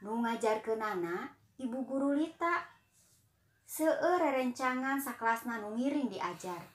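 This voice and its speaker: A young woman with a Sundanese accent, reading a children's story in a gentle, unhurried way. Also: on the high side, lively intonation, echoey room. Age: young adult.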